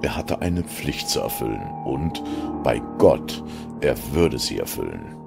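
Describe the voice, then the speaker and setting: Very deep, gravelly male storyteller. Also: sing-song, a little slow. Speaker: narrator. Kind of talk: dramatic audiobook narration of a dark story. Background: music.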